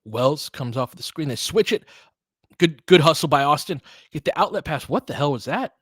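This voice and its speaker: A man's voice: on the high side, animated, moderate pace, fairly bright tone, husky, no echo, studio quality. A man doing casual basketball play-by-play, mixing calls of the action with opinionated, conversational analysis and coaching the players aloud. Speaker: fan play-by-play commentator.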